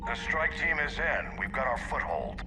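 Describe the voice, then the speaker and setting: A man's voice: medium pitch, big pitch swings, moderate pace, in a large hall, music in the background, close to an everyday voice. A man playing a stern, determined fleet admiral giving orders in a video game. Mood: grim, determined. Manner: commanding.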